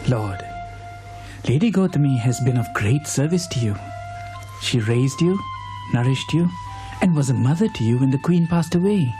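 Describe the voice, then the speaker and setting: A man playing a gentle, devoted monk attendant in an animated religious drama, respectful and earnest. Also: medium pitch, moderate pace, long pauses, music in the background, natural voice, low-quality recording. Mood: respectful pleading. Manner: deferential, earnest.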